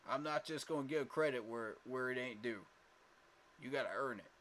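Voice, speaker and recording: A man's voice: medium pitch, moderate pace, fairly bright tone, a little hiss or hum. A man hosting a casual fan livestream, talking emphatically with lots of fillers and repeated words, tired from little sleep. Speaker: fan channel host.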